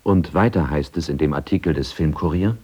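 A man narrates a radio documentary from a script in a low register. His tone is dark and soft-edged, suited to presenting archival material. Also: a little fast, husky.

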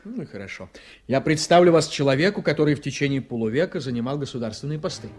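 An actor playing a confident, ambitious politician in a Russian radio drama, speaking in a wry, emphatic way. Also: male voice, mid-range voice, animated, quick pace, loud music behind the voice, lightly acted. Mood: wry, relaxed. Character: blunt, ambitious male politician, confident and sardonic.

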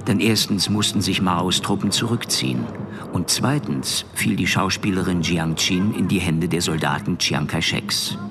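A man playing a composed narrator, telling the story in a measured, factual documentary style for an audio drama. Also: low voice, gravelly, noisy background. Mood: informative.

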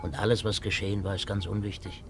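An actor playing a dreamy, rapturous small clerk aboard a ship in a 1950s radio drama, musing lyrically in awe. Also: male voice, lowish voice, fairly even intonation, fast, lightly acted. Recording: very noisy old radio recording, ambience.

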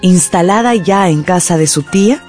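A woman narrating a literary radio drama in an expressive, deliberate storytelling style. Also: lowish voice, faint music behind.

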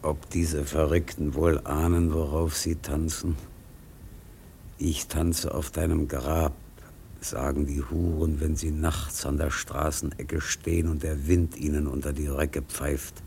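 An actor in a radio drama playing a brooding, defiant seafarer with a gravelly voice and a declamatory manner. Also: male voice, extremely low pitch, flat delivery, measured pace, darkish tone, a little hiss or hum, exaggerated voice. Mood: grim musing. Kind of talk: German radio drama acting.

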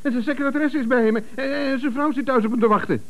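An actor in a radio comedy playing a nervous, put-upon office employee who grumbles and frets. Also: male voice, very high-pitched, quick pace, slight background noise. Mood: agitated. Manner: fretful, grumbling.

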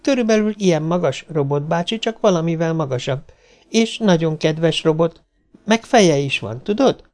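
A man's voice: a little high, lively intonation, darkish tone, pitched above the performer's own voice, close to an everyday voice. A man voicing an earnest, chatty little girl in a storybook, childlike and eager to explain. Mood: eager, polite.

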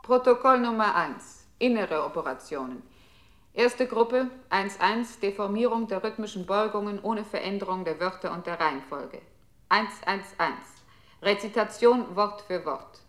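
An impersonal female computer control unit played by a woman in a 1960s experimental radio play. It issues systematic announcements in a clipped, formal way, at an ordinary middle pitch. The delivery is commanding and procedural. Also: moderate pace, lightly acted.

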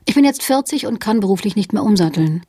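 A wry woman raconteur, radio play, mid-pitched, dry studio sound. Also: animated, quick pace, dark tone, breathy edge, no room sound. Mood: wry storytelling. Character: woman recounting a literary gathering, wry storyteller. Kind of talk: literary radio narration.

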